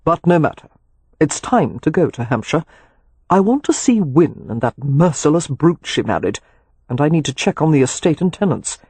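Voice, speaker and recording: A narrator voicing a rakish, affectionate gentleman in an audiobook, with a nonchalant, teasing, dry manner. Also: medium pitch, big pitch swings, moderate pace, breathy edge, dry recording. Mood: breezy. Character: Leo, wry, rakish, affectionate gentleman brother. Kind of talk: audiobook character dialogue.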